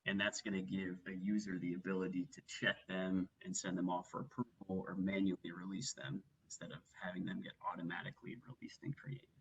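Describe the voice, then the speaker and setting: A man working methodically through a software walkthrough as a webinar trainer, explaining each step. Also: sits low, monotone, a little fast, few pauses, husky, highs cut off. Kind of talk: instructional software walkthrough, semi-scripted presentation.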